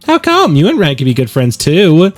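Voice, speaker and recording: A man's voice: a little high, wildly swooping pitch, moderate pace, faint music behind, voice pushed up. A man voicing a bubbly, oblivious, kindhearted teenage schoolgirl from a visual novel. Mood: bubbly, curious. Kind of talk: visual novel character read-aloud.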